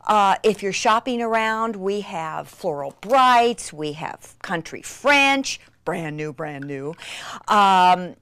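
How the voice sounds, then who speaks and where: A middle-aged woman presenting a chatty craft tutorial in an excitable, gushing manner with dramatic emphasis. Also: mid-range voice, slow, clear, resonant tone, no room sound.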